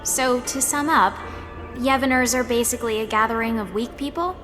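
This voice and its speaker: Game drama teen female actor: cheeky, questioning, judgmental, sarcastic edge, clear music bed underneath. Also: on the high side, moderate pace, fairly bright tone, lightly acted. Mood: scornful. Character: skeptical, cheeky seventeen-year-old, blunt and judgmental. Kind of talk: acted audio drama dialogue and narration. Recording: music behind the voice.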